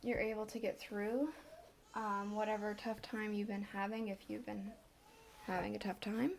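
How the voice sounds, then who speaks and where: A woman giving a casual tarot reading, still congested, with a slight cough and a bit winded, talking in a relaxed, chatty way with many fillers. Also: medium pitch, normal speed, creaky, a little hiss or hum. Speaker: tarot reader.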